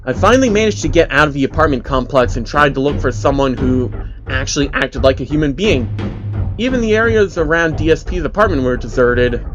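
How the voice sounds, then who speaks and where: Male narrator for amateur horror-fiction readings, slightly high voice, music underneath. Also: expressive, a little fast, highs cut off. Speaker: narrator, channel host reading fan stories. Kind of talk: dramatic reading of a first-person creepypasta.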